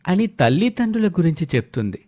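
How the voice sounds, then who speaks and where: A man's voice: high-pitched, sing-song, fast talker, mellow. A man narrating a scripted moral story.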